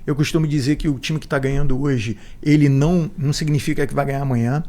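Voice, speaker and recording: A man's voice: medium pitch, fairly even intonation, fast talker, mellow. A man in his forties with a Brazilian accent, a podcast guest who talks reflectively and repeats words as he gathers his thoughts. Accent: Brazilian Portuguese. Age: 40s. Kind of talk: podcast interview.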